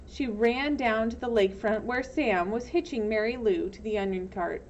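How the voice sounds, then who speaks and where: A woman's voice: fairly high pitch, normal speed, dull audio, slight background noise. A woman reading a children's novel aloud in scripted storybook narration. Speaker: audiobook reader.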